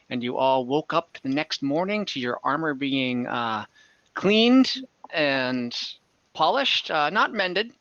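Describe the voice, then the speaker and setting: Guy running a tabletop game, kinda hesitant and chatty, forward bright voice, muffled roomy audio. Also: medium pitch. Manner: conversational, hesitant, self-correcting. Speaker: game master. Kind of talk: recapping and narrating a tabletop game, casual. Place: indoor room, online game session.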